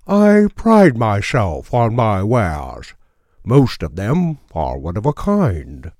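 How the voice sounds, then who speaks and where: A man voicing a gaunt, courteous old bookstore owner with a strong, crisp voice and a hard-to-place accent, speaking slowly and formally. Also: lowish voice, wildly swooping pitch, darkish tone, dry recording, studio quality, exaggerated voice. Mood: courteous, eerie. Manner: slow, measured, formally polite.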